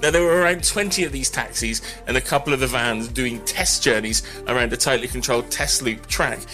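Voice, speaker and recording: Male tech commentator, punchy bright delivery, opinionated and dry-witted, over a music bed. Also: medium pitch, brisk. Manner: wry, opinionated. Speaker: technology news commentator. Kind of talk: conversational news commentary. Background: background music.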